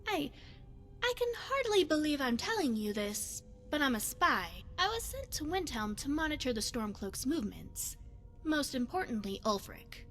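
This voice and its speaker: Woman playing high-voiced, lilting, secretive female spy. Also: sing-song, soft background music. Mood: confiding, earnest. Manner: formal, measured, deliberate phrasing. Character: poised, secretive female spy devoted to her goddess. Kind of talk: scripted video game character dialogue.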